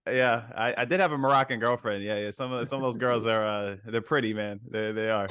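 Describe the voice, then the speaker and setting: A man's voice: medium pitch, brisk, a bit bright, clear, resonant tone, dry recording, clean studio sound. A man hosting a podcast in a casual, chatty interview style. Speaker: podcast host.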